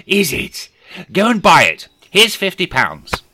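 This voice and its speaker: A man voicing a gleeful, scheming male miser who exclaims loudly and theatrically. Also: high voice, wildly swooping pitch, unhurried, slightly rough, voice pushed up, heavily acted. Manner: shouting, exclaiming, manic glee.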